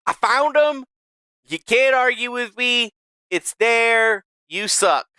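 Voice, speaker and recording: A man's voice: very high-pitched, animated, slow, pushed and bright, clean tone, dry recording, studio quality. A man hosting a stream, ranting casually in a brash, sarcastic, profane and rambling way. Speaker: streamer host.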